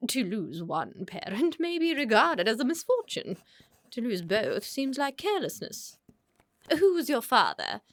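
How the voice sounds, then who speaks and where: A woman's voice: a little high, sing-song, a little slow, a bit bright, dry recording, studio quality. A woman playing an imperious, snobbish older aristocrat with an upper-class English accent in a comic period radio play. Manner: haughty, crisply judgmental, theatrical.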